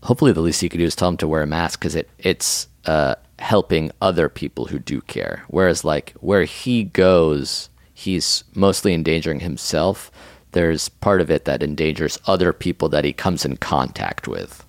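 Gravelly low-voiced man teasing his co-host. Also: moderate pace, dry recording, clean studio sound. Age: 30s. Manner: teasing, laughs often, mock-lecturing. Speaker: podcast co-host. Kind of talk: casual comedic chat, reading listener email.

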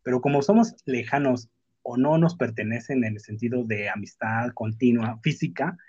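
A man's voice: mid-range voice, darkish tone, breathy edge. A man with a Mexican accent chatting casually and frankly, using slang and often breaking off to rephrase himself. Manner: frank, slangy, halting and self-interrupting. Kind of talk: casual chat. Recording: muffled lo-fi audio in echoey room.

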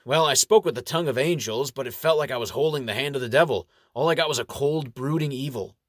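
A man's voice: mid-range voice, fast, no room sound, clean studio sound, pitched above the performer's own voice, lightly acted. A man voicing a jovial, irreverent clergyman who schemes and talks in a folksy, wry way in an audio story.

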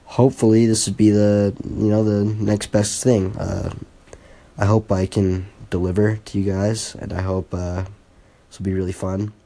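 A teenage boy talks his way through a casual solo podcast, hesitant and full of fillers and restarts. His voice sits low and sounds mellow and soft-edged. He comes across earnest and a bit self-conscious. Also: level tone, moderate pace. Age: teens. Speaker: podcast host.

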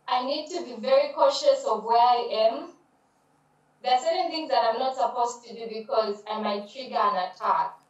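Female caller on a remote link; higher voice, very echoey, muffled. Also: moderate pace. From Zambia. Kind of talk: video call testimony. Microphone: video call. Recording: distant echoey video call audio.

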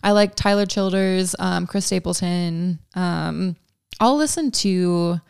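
A woman's voice: on the low side, takes it slowly, gravelly, a little mellow, smooth, no room sound, studio quality. A young woman from Georgia with no country accent, chatting casually on a podcast with lots of ums and likes. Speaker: podcast guest. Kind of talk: casual chat.

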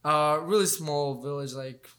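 A young man from Albania, a fighter guest in a casual interview, talking in a relaxed, hesitant way with many fillers and restarts. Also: on the high side, a little slow.

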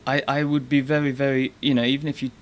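A man's voice: mid-range voice, level tone, fast talker, a little hiss or hum. A man with a British accent, raised in Africa, chatting casually as a podcast guest and rambling with fillers. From born in Scotland, raised in Zambia. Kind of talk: casual interview chat.

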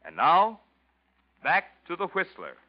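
A man announcing a wartime commercial with a genial, salesy lilt and a higher, lively voice. It comes through a muffled old radio broadcast. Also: measured pace, over music.

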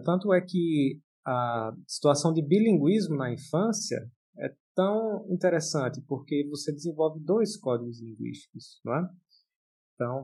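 A man speaks with a husky voice of average pitch. He explains in a conversational way, often adding small checking questions. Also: mellow. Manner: explanatory, with frequent checking tags. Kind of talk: casual explanatory talk.

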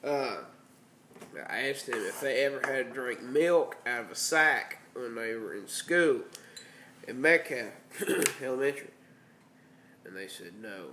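A man's voice: mid-range voice, expressive, unhurried, bright, forceful tone, a little hiss or hum. Congested man hosting a podcast. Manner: laughing, joking, rambling. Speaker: podcast host. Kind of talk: casual chat. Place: band house room. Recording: echoey room with faint ambience.

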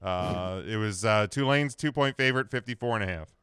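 A man's voice: fairly low pitch, a little fast, a bit bright, clean tone, no room sound, studio quality. A man hosting a casual sports picks show, chatting and moving the discussion along.

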